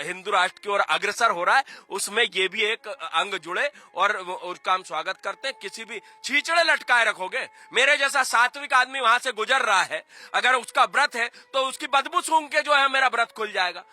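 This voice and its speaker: High, very bright emphatic man. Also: normal speed, hardly any pauses. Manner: emphatic, repetitive, rhetorical questions. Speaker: activist spokesman for a cultural-religious organisation. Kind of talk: street news interview, opinionated argument.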